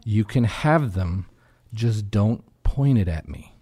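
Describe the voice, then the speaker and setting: A man's voice: lowish voice, expressive, slow. A man talking conversationally as an interview guest, in an earnest, reflective manner. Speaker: guest singer-songwriter and radio show founder.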